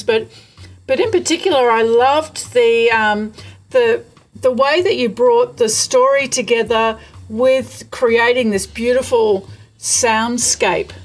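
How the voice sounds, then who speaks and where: A woman hosting a casual interview, speaking in an effusive, enthusiastic way. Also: on the high side. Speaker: interview host. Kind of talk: casual video call interview. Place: remote, not at the guest's location.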